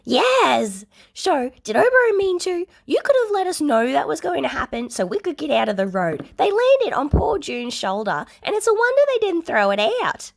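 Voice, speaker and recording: An excitable, dramatic man, as a woman narrator puts him on, exclaims loudly in a raised pitch. He belongs to a lively fan story reading. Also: normal speed, vocal fry, few pauses, full character voice. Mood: agitated, loud. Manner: loud, exclaiming. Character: loud, excitable, dramatic man, affectionate partner on the phone.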